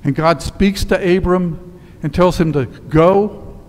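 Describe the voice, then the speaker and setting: Older priest preaching in a reverberant church. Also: male voice, high-pitched. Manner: storytelling with quiet, slowed emphasis. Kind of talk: sermon. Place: church sanctuary in front of altar. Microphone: headset mic. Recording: long church reverb with faint ambience.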